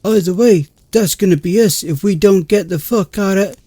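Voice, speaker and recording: A man voicing a panicked young male friend who talks loudly and urgently. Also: high-pitched, lively intonation, unhurried, no room sound, pitched above the performer's own voice. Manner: frantic, loud, urgent.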